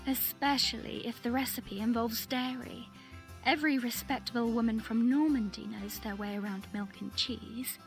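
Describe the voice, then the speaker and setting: A woman's voice: a little high, fairly even intonation, moderate pace, soft background music, natural voice. An actress with a British accent playing a proud woman from Normandy in a visual novel.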